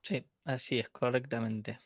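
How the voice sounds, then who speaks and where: A man's voice: mid-range voice, steady tone, unhurried, husky, no echo, studio quality. Casual chatty man. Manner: casual, chatty, laughs easily. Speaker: co-instructor. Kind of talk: online workshop teaching.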